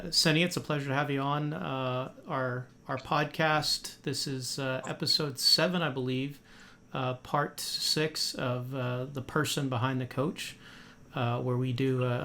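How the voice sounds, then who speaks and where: A friendly man hosts a club podcast. He talks casually, with lots of "uh" and restarts, at an average pitch. There is faint noise in the background. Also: fairly bright tone. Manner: friendly, many fillers and restarts. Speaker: podcast host. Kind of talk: casual interview.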